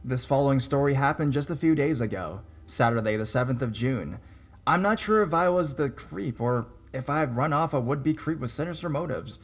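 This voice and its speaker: A man's voice: medium pitch, expressive, moderate pace. Man narrating stories with wry asides. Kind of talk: scripted narration of reader stories.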